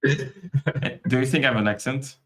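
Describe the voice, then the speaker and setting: A man hosting a podcast, easygoing and teasing, who jokes with his guests. His voice sits at an average pitch. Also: moderate pace, fairly bright tone. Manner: playful, teasing. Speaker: host. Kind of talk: casual podcast chat.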